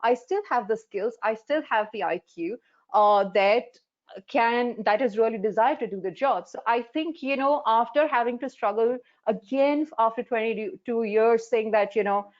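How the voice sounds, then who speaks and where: A woman's voice: on the high side, normal speed. Woman in her 40s, Hindi native speaker from India, earnest life coach on a muffled video call. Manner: earnest, frank storytelling with many fillers. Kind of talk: webinar panel talk.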